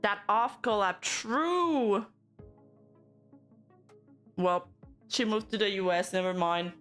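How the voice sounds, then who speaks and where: A young Canadian woman streams casually, reacting to news and bantering with her chat in a middle-pitched voice. She laughs often and playfully denies whatever the chat teases her about. Soft music plays faintly behind her. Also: slow pace. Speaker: VTuber commentary streamer.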